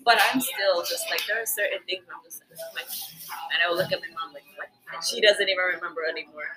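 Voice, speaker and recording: A woman in her twenties, a podcast guest in casual chat, playful and self-deprecating, her voice often trailing off softly. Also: lowish voice, fast, over music, rough recording. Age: 20s.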